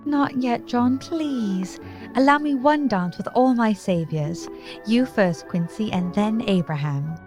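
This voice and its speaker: A woman playing a fanciful, haunted yet defiant young gentlewoman in a radio play, with a theatrical, genteel delivery. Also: a little high, takes it slowly, soft background music. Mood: playful pleading.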